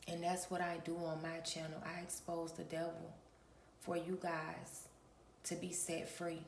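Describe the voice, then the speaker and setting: A woman hosting her own channel, talking in a relaxed early-morning vlog with a raspy voice and a preachy manner, often clearing her throat. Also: fairly low pitch, unhurried, reverberant, slight background noise. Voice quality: gravelly. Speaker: spiritual reader and channel host.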